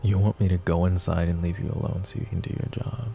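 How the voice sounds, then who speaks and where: A man playing a possessive, overprotective mafia boss in an intimate roleplay audio drama, with a low gravelly voice and a measured, commanding manner. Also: flat delivery, mellow. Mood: protective, agitated. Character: possessive, overprotective male mafia boss, curt but caring. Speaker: voice actor.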